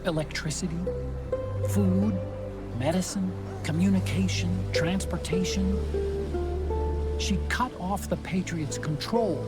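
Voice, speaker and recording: Loud music runs under a pensive, uneasy scientist in a game cutscene. His voice sits slightly high for a man, and he speaks slowly, weighing each phrase. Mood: pensive, explanatory. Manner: measured, pensive, pausing between phrases. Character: thoughtful, uneasy male scientist reflecting on war. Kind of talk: dramatic video game cutscene monologue.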